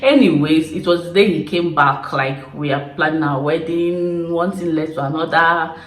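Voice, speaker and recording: A young woman from Nigeria with an Igbo accent, casually telling stories to camera in an expressive, chatty way. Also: low voice, moderate pace, echoey room. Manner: chatty, expressive, laughing storyteller. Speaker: YouTuber. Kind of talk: casual confessional chat to camera.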